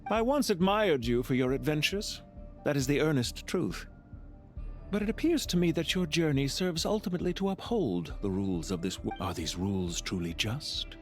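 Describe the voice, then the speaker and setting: A man playing a calm, courteous, scheming villain officer in a video game, speaking in a polite, formal, measured and theatrical way. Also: fairly high pitch, soft background music, close to an everyday voice. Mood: calm, challenging. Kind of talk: scripted video game voice acting.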